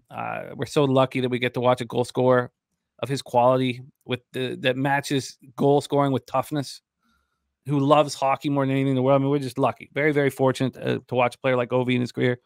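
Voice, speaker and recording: A man's voice: mid-range voice, little pitch movement, a little fast, vocal fry, smooth, no room sound. A middle-aged man hosting a sports betting show, breaking down games conversationally in short, emphatic statements, close on a desk microphone. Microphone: large desk microphone, close.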